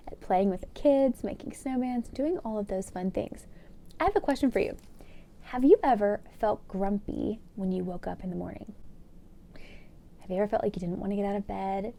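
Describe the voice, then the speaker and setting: High, mellow woman reading to children. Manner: expressive, asks listeners questions. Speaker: host of children's read-aloud show. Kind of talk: storybook narration with asides to children.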